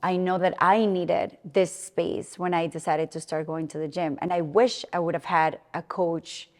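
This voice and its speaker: A woman's voice: lowish voice, steady tone, moderate pace, in a large hall. A woman from Colombia, speaking earnestly and reflectively as an interview guest. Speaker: interview guest, fitness coach and gym owner. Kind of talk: personal interview conversation.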